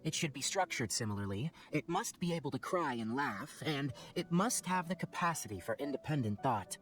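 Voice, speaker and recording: An actor playing a thoughtful young forest scholar in a video game, explaining in a measured, analytical manner. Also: male voice, a little high, lively intonation, a bit bright, faint music behind. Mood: thoughtful, explanatory.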